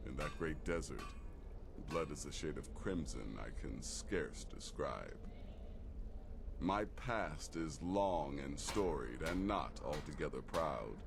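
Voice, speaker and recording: A deep-voiced, condescending assassin. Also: male voice, lively intonation, noisy background, full character voice. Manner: smooth, condescending, measured. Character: cocky male desert-born assassin guild leader. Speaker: game character assigning contracts.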